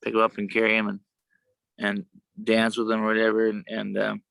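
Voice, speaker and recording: A man chatting casually on a video call, with a worn, sometimes gravelly voice and a halting, rambling way of talking. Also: lowish voice, monotone, a bit bright, no room sound, poor audio. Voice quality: gravelly at times. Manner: rambling, halting, many restarts. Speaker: show co-host.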